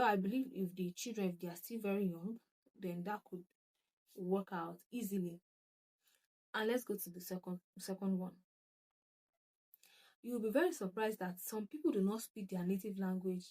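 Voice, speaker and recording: A woman's voice: medium pitch, a little mellow, poor audio. Young nigerian woman talking to her channel, reads out comments, chatty, restarts a lot, yoruba is her first tongue. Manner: chatty and reflective, with many restarts and bursts of laughter. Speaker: channel host. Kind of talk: casual talk to camera, reading comments.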